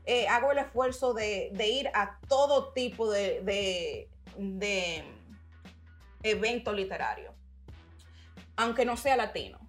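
A woman, a writer and organizer, telling her story in a relaxed interview and slipping into English phrases. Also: mid-range voice, slow pace, faint music behind. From Dominican-American. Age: adult, mother. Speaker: guest writer and community organizer. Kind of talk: casual interview chat.

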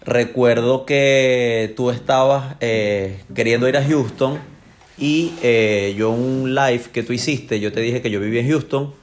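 Venezuelan man, mid-pitched, casually recounting. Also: fairly even intonation, measured pace. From Maracaibo, Venezuela. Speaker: tattoo client being interviewed.

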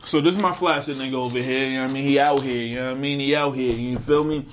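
Emphatic, drawn-out podcast host man. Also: mid-range voice, steady tone, slight background noise. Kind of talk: casual interview.

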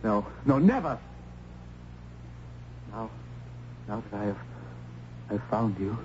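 A man's voice: on the low side, animated, takes it slowly. An actor playing a compassionate, tormented young man in an old-time radio drama, hesitant and intense. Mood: agonized. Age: young adult. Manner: hesitant, intense, breaks off and repeats. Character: tortured, compassionate young man, earnest would-be rescuer. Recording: muffled, faintly noisy old radio recording.

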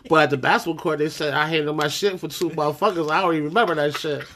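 A man with a light, high voice, hosting a podcast and telling animated, profane stories in casual chat with friends. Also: very fast. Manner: animated, profane, laughing storyteller. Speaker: podcast host.

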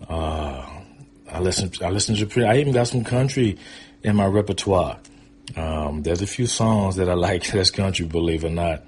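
A man in his fifties from Michigan with an American accent, telling personal stories in an informal, rambling, hesitant way with a husky voice. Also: low-pitched, moderate pace, a little mellow, a little hiss or hum. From Pontiac, Michigan, United States. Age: 50s. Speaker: guest storyteller. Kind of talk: casual personal storytelling.

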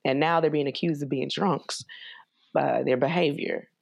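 Lively host asking questions. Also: mid-range voice, creaky. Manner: lively, asks for repeats, quick asides. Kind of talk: casual interview.

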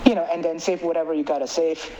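A man from Indonesia with an Indonesian accent, chatting casually as an interviewed filmmaker in an animated, rambling way full of filler phrases. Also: on the high side, quick pace, a little hiss or hum. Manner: animated, rambling, peppers talk with "like" and "you know". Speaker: filmmaker and writer being interviewed.

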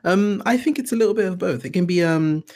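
A man's voice: high-pitched, expressive, fast, darkish tone. A man hosting a podcast in a conversational interview style. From Britain. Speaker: podcast host.

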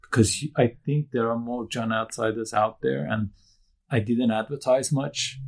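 Gravelly, husky man. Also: medium pitch, steady tone. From Iran. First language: Turkish. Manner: dry joking, halting restarts. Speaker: guest. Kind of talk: candid interview conversation.

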